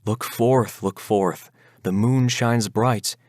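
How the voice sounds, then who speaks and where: A man's voice: fairly low pitch, steady tone, takes it slowly, a little mellow, no echo, clean studio sound, pitched above the performer's own voice. A man voicing an undead horseman bridegroom in a Gothic ballad, urgent, commanding and ominous, with archaic, imperious speech. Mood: ominous, insistent. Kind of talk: dramatic Gothic ballad recitation.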